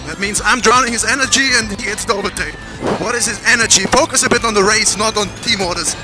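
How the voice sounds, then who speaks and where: A male racing driver complains over team radio in a high voice. Loud music plays behind him. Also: quick pace.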